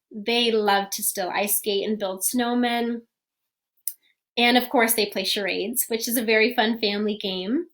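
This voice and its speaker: A young woman playing a sweet, gracious fairy-tale princess, greeting young fans one by one in a gentle, gushing, storybook-polite manner. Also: fairly high pitch, natural voice. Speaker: costumed princess performer.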